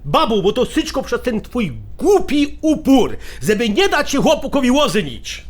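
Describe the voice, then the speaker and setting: An actor playing a stubborn, hot-tempered older brother with a Polish highlander accent, indignant and blustering in an audio drama. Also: male voice, big pitch swings, normal speed, exaggerated voice. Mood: aggrieved. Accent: Polish highlander (Goral). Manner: indignant, blustering, exclaiming in rural dialect.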